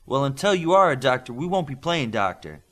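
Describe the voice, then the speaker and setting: A man voices a teenage boy superhero in an audiobook. He is modest and wary, speaking plainly in a somewhat raised, lively voice with an awkward, guarded manner. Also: mid-range voice, fairly quick, pitched above the performer's own voice.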